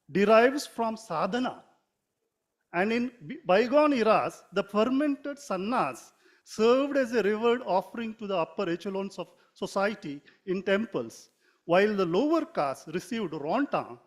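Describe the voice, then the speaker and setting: A man from Mangalore with an Indian English accent, a native Konkani speaker, reading a formal prepared talk in a measured way, now and then correcting himself. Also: high voice. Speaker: writer giving a talk.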